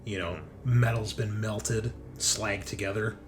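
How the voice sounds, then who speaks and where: A low-voiced man acts as game master for a tabletop roleplaying session. He improvises descriptions conversationally, in halting phrases. Some noise sits behind his voice. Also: steady tone. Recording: clear background noise.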